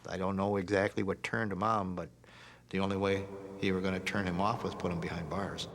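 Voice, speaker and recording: A man recounting a case as an interviewed investigator, matter-of-fact, with hesitant pauses. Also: low-pitched, flat delivery, fairly bright tone.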